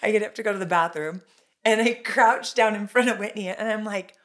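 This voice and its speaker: Middle-aged American woman co-host, loud and lively, mid-pitched voice. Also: brisk. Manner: loud, animated storyteller. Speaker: podcast co-host. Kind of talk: casual chat.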